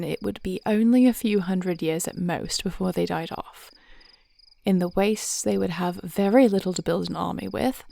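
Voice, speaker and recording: A woman playing a devoted warrior princess in an intimate fantasy audio roleplay, speaking in measured, formal phrases with a reassuring, thoughtful manner. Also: mid-range voice, gravelly, a little mellow, natural voice. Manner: measured, articulate, formal old-fashioned phrasing.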